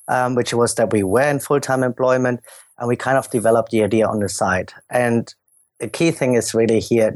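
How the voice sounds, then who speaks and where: A man's voice: medium pitch, darkish tone. A man from Germany with a German accent, a startup founder explaining his business earnestly in an interview. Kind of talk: podcast interview.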